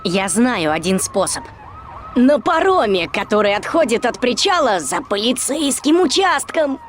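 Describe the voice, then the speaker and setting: A woman's voice: a little high, big pitch swings, unhurried, a little hiss or hum. A performer voicing a chatty, nervous male desk cop in a video game dub.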